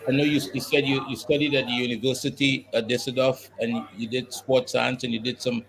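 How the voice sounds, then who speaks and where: A man's voice: mid-range voice, little pitch movement, gravelly, poor audio. Guy asking questions, stops and restarts a lot, middle voice, crowd behind. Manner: halting multi-part questions with restarts. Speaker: interviewer. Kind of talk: sports interview.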